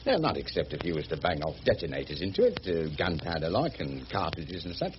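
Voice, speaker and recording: An actor playing a cheeky, easygoing working-class English mechanic with a Cockney accent, chatty and deferential, in a radio drama. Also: male voice, sits low, big pitch swings, brisk. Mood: explanatory, incredulous. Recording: muffled, noisy old radio drama recording.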